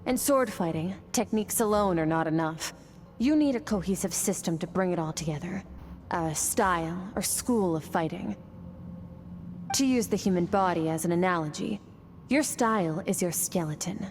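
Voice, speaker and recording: A woman voicing a composed woman sword master in a video game, teaching in a calm, measured way. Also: fairly low pitch, a little hiss or hum, lightly acted. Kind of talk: game voice acting.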